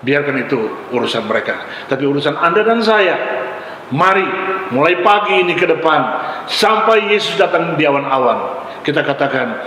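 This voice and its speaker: A man's voice: fairly high pitch, expressive, moderate pace, sharp tone. A male pastor from Ambon, Indonesia, preaching a sermon in short, emphatic, exhorting phrases with repetition. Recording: echoey hall with long reverb, faint noise.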